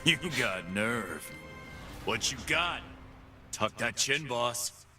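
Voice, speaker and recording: A man's voice: mid-range voice, animated, takes it slowly, sharp tone, soft background music, exaggerated voice. An actor playing a cocky, tough male pit boss, voice lines for a video game with streetwise trash talk. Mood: cocky taunting.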